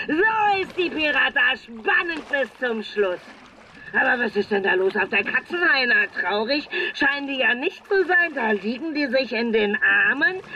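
A woman playing a chatterbox pirate who gives excited race commentary in a children's radio play. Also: high voice, highs cut off, a little hiss or hum, exaggerated voice.

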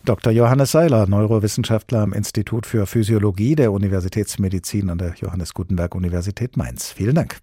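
A male radio host with a dark, slightly husky voice in a dry studio sound. He moves between halting, filler-laden questions and clean scripted announcements. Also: on the low side, dry recording. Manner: hesitant questions with many fillers. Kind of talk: radio talk show moderation.

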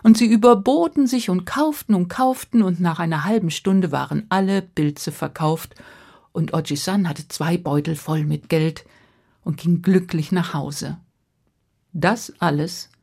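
A woman storyteller freely narrating a fairy tale, with dramatic pauses, repetition and hushed drops for suspense. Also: fairly low pitch, gravelly, slightly rough.

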